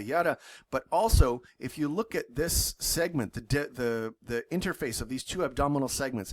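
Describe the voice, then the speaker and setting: A man's voice: mid-range voice, slight background noise. A chatty male university lecturer. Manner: enthusiastic, loosely worded, frequent fillers and self-corrections.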